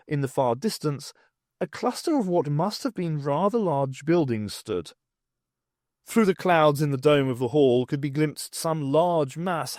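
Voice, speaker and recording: A man reading scripted science fiction narration in an expressive storytelling manner. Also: fairly high pitch, no room sound, clean studio sound. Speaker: audiobook narrator.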